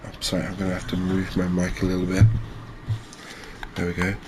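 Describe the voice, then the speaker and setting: A soft-spoken man giving relaxed, unhurried ASMR commentary on a video game, speaking close to the mic. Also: low voice. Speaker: gaming video creator. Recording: clear background noise, ambience.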